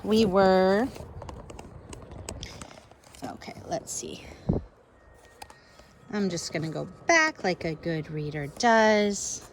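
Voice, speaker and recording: Expressive woman teacher reading aloud, noisy recording. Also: medium pitch, drawn-out words, pauses often. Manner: expressive, questioning the young listeners. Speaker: elementary school teacher. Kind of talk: storybook read-aloud for pupils with casual asides.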